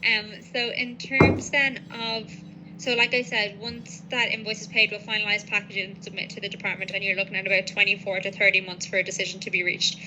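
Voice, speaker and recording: A woman's voice: mid-range voice, level tone, fairly quick, noisy background. A woman working as a legal adviser, methodically explaining procedures to a client on a professional call. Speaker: legal adviser on citizenship applications.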